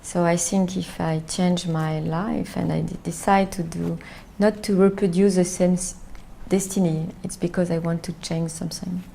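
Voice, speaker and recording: A middle-aged Frenchwoman speaks halting, careful English with a strong French accent, pausing to search for words. Her voice sits low and mellow with a gravelly edge. A faint hiss of background noise sits under her. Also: little pitch movement. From France. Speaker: documentary interviewee. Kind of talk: reflective personal interview.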